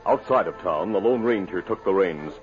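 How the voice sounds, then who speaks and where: An American man giving measured, suspenseful scripted narration in a dramatic 1950s radio style. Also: low voice, lively intonation, faint music behind. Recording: noisy, muffled old radio recording.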